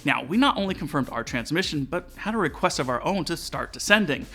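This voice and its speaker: Lively man presenting a tutorial. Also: fairly high pitch, brisk. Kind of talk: scripted explainer narration. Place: small indoor studio set. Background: background music.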